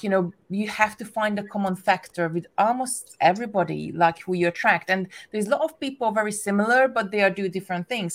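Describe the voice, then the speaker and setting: A woman from Hungary with a strong Hungarian accent, English being her second language, chatting casually as an interview guest about her craft business with lots of restarts and fillers. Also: medium pitch. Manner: chatty, many restarts and "like" fillers, laughs often.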